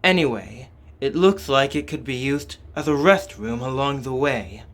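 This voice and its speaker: A man reading a game script aloud dramatically, with slow, deliberate, halting delivery. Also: medium pitch. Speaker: fan voice-over reader.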